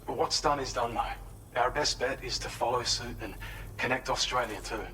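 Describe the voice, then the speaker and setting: A man acts an earnest, helpful facility researcher in a video game. His voice is bright and slightly low, and he explains things in a polite, measured way over faint ambient noise. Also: steady tone, natural voice, low-quality recording. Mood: earnest, businesslike. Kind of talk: scripted game briefing.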